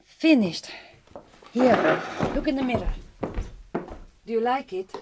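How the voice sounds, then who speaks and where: An actress playing a direct, kind but quietly wounded Hungarian migrant farm worker in a radio drama, with a Hungarian accent and careful, reassuring speech. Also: female voice, a little high, lively intonation, takes it slowly, noisy background. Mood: friendly, curious.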